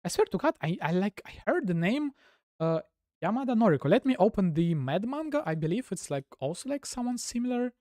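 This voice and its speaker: A man's voice: sits high, big pitch swings, brisk, warm tone, no echo, studio quality. A man from Russia with a Russian accent, a gaming streamer chatting casually with viewers in a rambling way, full of "like" fillers. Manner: rambling, heavy use of "like" fillers.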